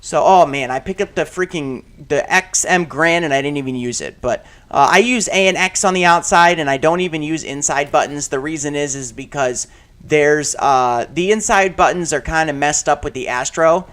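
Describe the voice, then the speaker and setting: Raspy, rambling gamer guy, a bit high. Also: normal speed. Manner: rambling, many fillers and restarts, sudden loud outbursts at the game. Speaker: gaming channel host. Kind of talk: casual commentary while playing a shooter game.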